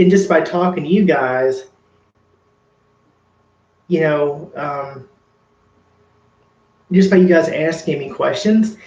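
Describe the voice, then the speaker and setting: A man's voice: a little high, a little mellow, reverberant, highs cut off. A man casually chatting to his livestream viewers, rambling and earnest with many fillers. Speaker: makeup vlogger.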